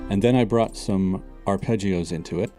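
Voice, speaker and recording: A man calmly explaining how he built a song, part by part. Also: low-pitched, normal speed, music in the background. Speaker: composer guest. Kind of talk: explaining his music in an interview clip.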